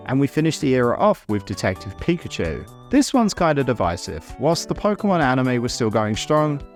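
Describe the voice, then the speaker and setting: A man with an average-pitched voice narrates a scripted video essay. He is wry and opinionated and drops in casual asides, while music plays behind him. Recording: music under the voice. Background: background music.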